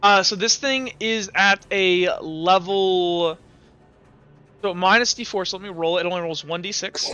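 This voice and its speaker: High bright-voiced young man running a game. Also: animated, slow, long pauses, soft background music. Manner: rambling, lots of likes and uhs. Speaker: game master. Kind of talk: narrating a tabletop game. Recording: dull lo-fi mic.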